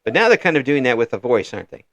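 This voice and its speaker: A man hosting a casual interview, talking in halting restarts and chuckling often. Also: mid-range voice, sing-song, a bit bright, dry recording. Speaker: interview show host. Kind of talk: casual video-call interview chat.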